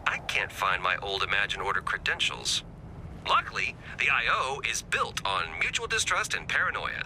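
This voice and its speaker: Excitable, wildly animated goofy male scientist. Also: medium pitch, slight background noise, full character voice. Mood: scheming. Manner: rambling, comic, swings from whispered asides to exclamations. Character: excitable goofy male scientist agent, talkative, self-deprecating. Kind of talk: scripted game character dialogue.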